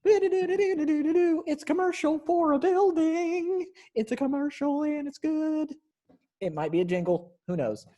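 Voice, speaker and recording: Male readers theater narrator-director, high-pitched, unhurried, playfully hammy and improvised. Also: animated, dark tone. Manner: playful, improvising, slow and halting. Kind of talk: informal hammy announcing and coaching.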